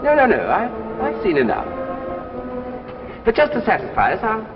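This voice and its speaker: An actor playing an older, courteous and persuasive successful author in a 1950s radio drama, with a theatrical, gracious manner. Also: male voice, high voice, wildly swooping pitch, normal speed, over music. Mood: persuasive, insistent. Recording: muffled, hissy old radio recording, reverberant.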